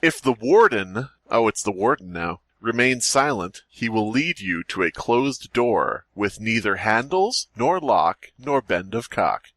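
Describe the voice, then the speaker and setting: A man's voice: on the low side, very animated, unhurried, few pauses, a bit bright, no echo. A man co-hosting a podcast with snarky, irreverent, casual banter and frequent interjections. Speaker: podcast co-host.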